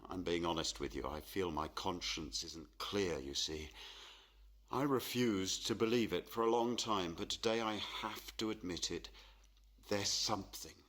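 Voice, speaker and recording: A man's voice: sits low, measured pace, a bit bright. An actor playing a gloomy, superstitious and proud middle-aged portrait painter and father in a radio play, brooding and theatrical. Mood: brooding, confessional. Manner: theatrical, brooding, self-pitying.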